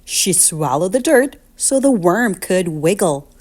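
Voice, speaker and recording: A woman reading a children's picture book aloud in an expressive, deliberate, sing-song storytelling manner. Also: fairly low pitch.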